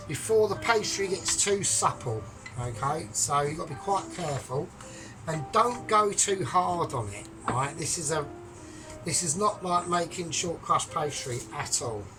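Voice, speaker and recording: A man's voice: fairly high pitch, poor audio. A man chatting his way through a cooking tutorial, explaining each step with joking asides. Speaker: home cook. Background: music.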